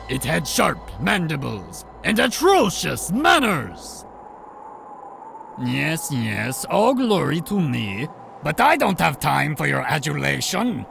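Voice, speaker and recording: A man voicing a boastful, pompous little bug knight from a video game, with a gravelly, disdainful delivery. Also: a little high, full character voice. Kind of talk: video game character voice acting.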